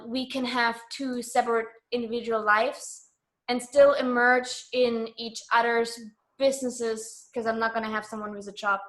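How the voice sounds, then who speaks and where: A woman's voice: fairly high pitch, fairly bright tone. A woman speaking as a guest in a casual radio interview, earnest, decisive and frank in her manner.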